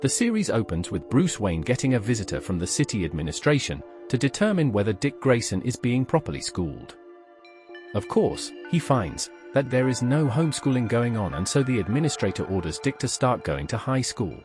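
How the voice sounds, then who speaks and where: A man's voice: mid-range voice, very animated, fairly quick, music in the background. A man hosting a pop culture show, reading scripted explanatory narration.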